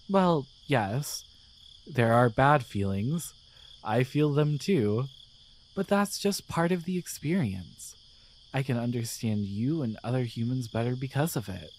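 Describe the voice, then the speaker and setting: A performer voicing a gentle, devoted guardian angel in a romantic ASMR roleplay, speaking tenderly and hesitantly with earnest pauses. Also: medium pitch, a little hiss or hum. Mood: earnest, reassuring. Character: gentle, devoted guardian angel, newly feeling, shyly in love.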